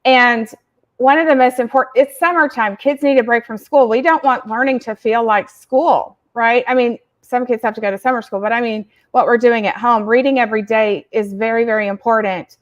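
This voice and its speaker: A woman's voice: on the high side, steady tone, a little fast. Earnest woman on dull webcam audio. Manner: earnest, explanatory, laughs easily. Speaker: therapist guest and regular. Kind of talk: casual expert explanation. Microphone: video call webcam.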